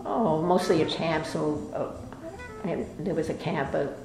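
An older woman from New York, an interviewee, remembering her past in an unhurried way with many hesitations. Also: deep voice, very animated, darkish tone. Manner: unhurried, with frequent hesitations and restarts. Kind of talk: casual reminiscing interview. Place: indoor library room with bookshelves. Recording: roomy echo, music underneath.

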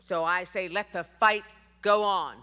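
A woman's voice: lowish voice, steady tone, a little slow, sharp tone, clear, resonant tone. A woman giving a rousing, declamatory dramatic reading of a historical speech from a theater stage, speaking into a stand mic at a lectern. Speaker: stage reader.

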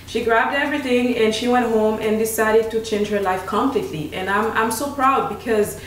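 Haitian-accented woman nutritionist, animated casual talker, a bit off-mic in a roomy kitchen. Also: fairly high pitch, moderate pace, slight background noise. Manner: animated, laughs often, restarts sentences. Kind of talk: casual chat. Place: home kitchen, indoors. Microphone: desk mic on tripod, camera at a distance. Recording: echoey room, voice from a distance.

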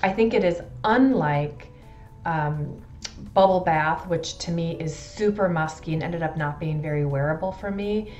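A middle-aged woman talks through a review in a fairly low voice. She is measured and thoughtful, leaning on her descriptive words as she speaks casually to camera. The indoor recording sounds a little muffled. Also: darkish tone, soft background music. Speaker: fragrance reviewer. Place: indoors in a furnished room at home.

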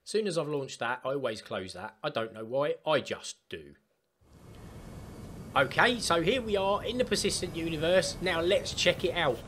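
A man chatting casually through a step-by-step software tutorial for gamers. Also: a little high, expressive, moderate pace. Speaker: gaming tutorial host. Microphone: desktop microphone, voice-over of screen capture.

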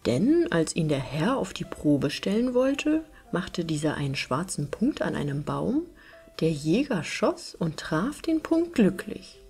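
A woman narrating a fairy tale as an audiobook reader, in an expressive storytelling style. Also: on the low side, unhurried, breathy edge, faint music behind.

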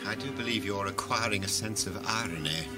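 An actor playing an imperious head of a world-ruling cartel in a sci-fi audio drama, grandiose and commanding like an orator. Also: male voice, lowish voice, brisk, loud music behind the voice, close to an everyday voice. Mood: sardonic, brisk.

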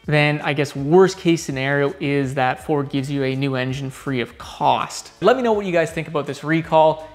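A young man hosting a car channel, giving an upbeat explainer to camera in a large garage workshop and talking with his hands. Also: a little high. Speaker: automotive channel host.

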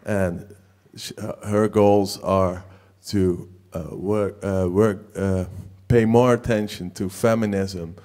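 A young man hosts from a theater stage, speaking into a handheld mic with a somewhat low voice. His introductions are friendly but halting, with plenty of "uh" between phrases. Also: fairly even intonation, warm tone. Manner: friendly, halting, with many fillers. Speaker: host. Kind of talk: stage hosting, introductions and questions.

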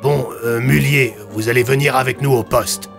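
A man's voice: medium pitch, steady tone, normal speed, vocal fry, fairly bright tone, faint music behind. A man voicing an exasperated, curt police commissioner in an audio story, speaking impatiently.

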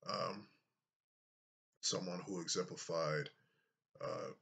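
A man in his thirties with a deep voice, talking to his webcam in a casual vlog from a small room, unhurried and thoughtful, with many fillers. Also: sing-song, vocal fry, bright, forceful tone. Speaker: YouTuber.

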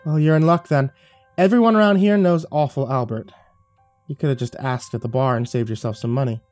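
A man voicing a coarse, greedy young woman of the streets in an audiobook, sharp-tongued and brash. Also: mid-range voice, a little fast, dry recording, soft background music, voice pushed up, lightly acted. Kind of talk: audiobook character voice.

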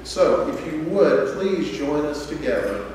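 A man's voice: on the high side, slight background noise. Man speaking from a pulpit in an echoey hall. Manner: earnest, steady speaking with filler pauses. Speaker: church program leader. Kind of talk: church address from a pulpit. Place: large church auditorium. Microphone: podium mic at a distance. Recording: echoey hall, voice heard from far off.

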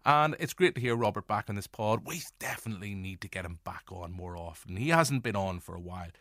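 A man with a Northern Irish accent hosting a podcast, introducing it in playful, deliberate asides to the listener. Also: fairly low pitch, animated, a bit bright, dry recording, studio quality. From Northern Ireland. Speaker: podcast host. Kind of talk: scripted-sounding podcast introduction.

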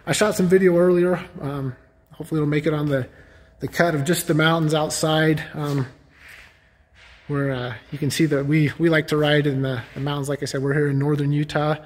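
A man's voice: a little high, fairly even intonation, brisk. A man in his forties, a warehouse director, giving a rambling, self-deprecating tour of the office and warehouse, full of fillers. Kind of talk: casual company tour. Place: office, then large warehouse. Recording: long warehouse reverb.

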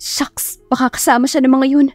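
A woman's voice: sits high, flat delivery, a little fast, darkish tone, dry recording, faint music behind, voice pushed up, lightly acted. A woman voicing a flustered, embarrassed young female teacher who scolds herself dramatically in a romance audiobook. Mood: anxious, exasperated. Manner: exclamatory, self-scolding, exasperated.

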